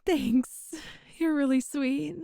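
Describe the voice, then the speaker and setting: A woman voicing a shy, hesitant young catgirl thief in an ASMR roleplay, speaking softly with stammers and little meows, close to the mic. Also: high voice, steady tone, slow pace, creaky, dry recording, full character voice. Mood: relieved. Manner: soft, hesitant, stammering, meows between phrases. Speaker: voice actor.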